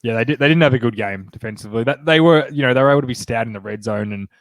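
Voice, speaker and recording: An Australian man in his thirties hosts a relaxed sports chat, his voice stuffy and nasal from a cold. He talks in a chatty, upbeat way, full of quick asides. The sound is dry and close, with no room in it. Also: mid-range voice, dry recording. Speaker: podcast host.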